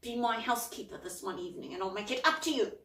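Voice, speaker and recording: A woman voicing a scheming, smooth-talking man who is bossy and sly, recorded in a roomy space at some distance from the mic. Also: a little high, animated, fast, fairly bright tone. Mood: hissing. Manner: sly, wheedling.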